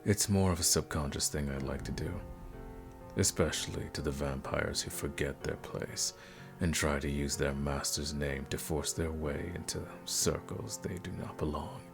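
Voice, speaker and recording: A man playing a suave, predatory aristocratic vampire in an intimate ASMR roleplay, speaking formally and seductively with a teasing menace and breathy drops toward a whisper. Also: very deep voice, steady tone, moderate pace, music in the background. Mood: condescending, charming.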